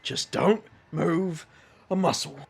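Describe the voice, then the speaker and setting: A man acting a wry, awkward detective magician in an audio drama, with a gravelly voice and dry, halting delivery. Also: fairly high pitch, full character voice. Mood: tense.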